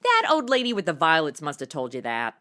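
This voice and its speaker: A woman's voice: low-pitched, huge pitch swings, fairly quick, fairly bright tone, full character voice. A woman playing a flippant, impatient young female sleuth, sarcastic and slangy, in a comedy audio drama.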